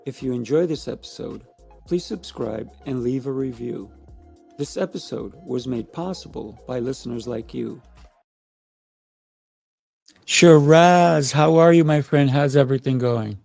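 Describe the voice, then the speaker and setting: A man's voice: mid-range voice, unhurried, creaky, darkish tone, faint music behind. A man hosting a podcast, interviewing conversationally and sometimes searching for his words. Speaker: podcast host.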